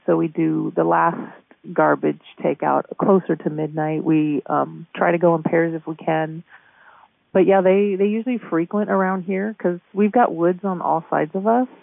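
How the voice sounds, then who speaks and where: A woman being interviewed over the phone, casually recounting events in a halting way with repeated words. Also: sits low, fairly even intonation, vocal fry, dry recording. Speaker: store manager being interviewed. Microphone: telephone call. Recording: muffled band-limited phone line.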